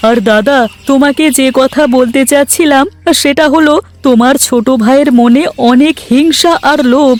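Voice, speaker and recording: Man acting a gossipy villager, extremely high-pitched. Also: fairly even intonation, normal speed, dry recording. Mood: confiding, cautioning. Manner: chatty, confiding. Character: gossipy, cautioning male village neighbour. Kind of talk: Bangla cartoon voice acting.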